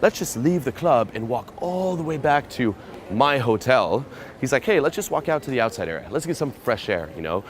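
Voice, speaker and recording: A man's voice: mid-range voice, big pitch swings, normal speed. A man giving a casual, profane voice-over breakdown as a dating coach, peppering every point with "okay" and "you know". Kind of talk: commentary breaking down a recorded pickup.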